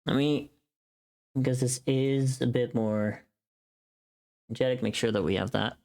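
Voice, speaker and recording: A woman's voice: extremely low pitch, fairly even intonation, a little slow, warm tone. Woman streamer casually thinking aloud. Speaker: livestreamer. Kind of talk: casual livestream chat while working.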